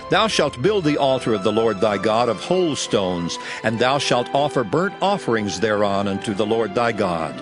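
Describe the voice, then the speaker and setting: Man as animated, solemn biblical lawgiver Moses. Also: fairly low pitch. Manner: solemn, exhorting. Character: Moses, earnest lawgiver instructing his nation. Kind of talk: dramatized King James Bible reading.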